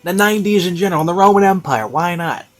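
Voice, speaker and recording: A man's voice: high-pitched, quick pace. Rambling man reviewer over music. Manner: rambling, hesitant, filler-heavy. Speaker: online reviewer. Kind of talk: casual unscripted review commentary. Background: classical music.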